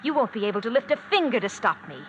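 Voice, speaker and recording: An actress playing a cold, teasing wife with murder in mind, coaxing and mocking in old-time radio mystery style. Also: female voice, on the high side, very animated, very fast, dull audio. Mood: coldly gloating. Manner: mocking, coaxing, calls husband dear and darling. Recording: vintage radio drama with background noise.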